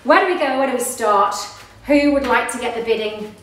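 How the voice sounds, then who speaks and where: Brief: high-voiced Australian woman auctioneer, brisk upbeat patter, echoey room, slightly off mic. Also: fairly bright tone, recorded from a distance. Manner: brisk, upbeat sales patter with rapid bid repetition. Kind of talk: live online property auction calling. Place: small office studio in front of a backdrop.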